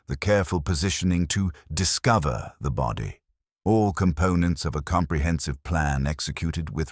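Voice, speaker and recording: A man narrating a mystery audiobook from a script in a measured, formal storytelling manner. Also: rumbling low, dry recording, studio quality.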